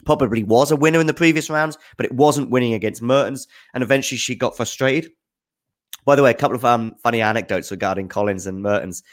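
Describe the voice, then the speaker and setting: A man's voice: mid-range voice, fast. A man hosting a tennis news show, answering viewer questions in casual, off-the-cuff spoken commentary, thinking aloud with enthusiasm.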